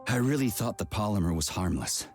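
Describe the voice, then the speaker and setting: An actor playing a hot-tempered, foul-mouthed Soviet special agent in a video game, blunt and sarcastic. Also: male voice, on the low side, expressive, fairly quick, natural voice. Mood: exasperated. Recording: loud music underneath.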